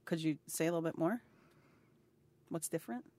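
A woman's voice: sits low, a little fast, a little mellow, no room sound. A woman in her thirties interviewing someone, encouraging and curious, asking follow-up questions. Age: 30s. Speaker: interviewer, health advocate. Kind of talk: recorded personal conversation. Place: indoors at a cultural center.

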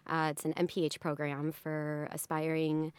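A woman's voice: sits low, completely flat delivery, moderate pace, clear, resonant tone, no echo. A woman in her late twenties telling a personal story in an earnest, heartfelt way. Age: 20s. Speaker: interview participant and health advocate.

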